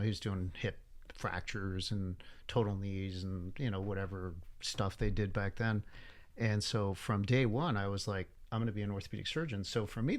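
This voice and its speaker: A man in his sixties, a surgeon from Cuba raised in Miami, casually telling stories in an interview. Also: fairly low pitch. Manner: relaxed storytelling with many fillers. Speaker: orthopedic surgeon guest. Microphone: headphones with studio mic.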